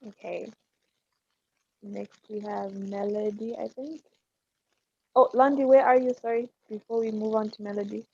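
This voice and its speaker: A woman's voice: mid-range voice, steady tone, moderate pace, creaky, long pauses, darkish tone, breathy edge. A woman hosting an online panel chat, friendly and a little teasing, with some hesitant ums. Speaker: podcast host. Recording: dull lo-fi dry call audio.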